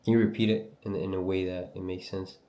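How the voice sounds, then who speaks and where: Young french canadian guy streaming, deep mellow voice, slangy casual chat, echoey room. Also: level tone, a little fast. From Algeria, raised in Canada. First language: Berber language. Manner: loose, slangy, reads and answers chat. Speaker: livestream trader host. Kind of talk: casual livestream chat answering viewers.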